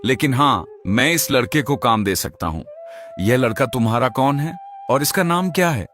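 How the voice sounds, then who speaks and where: Kindly, authoritative landowner played by a man for animated folk tale; blunt, medium-pitched. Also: normal speed, natural voice. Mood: doubtful, sympathetic. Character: blunt but kindly wealthy village landowner. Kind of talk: Hindi animated moral-story dialogue.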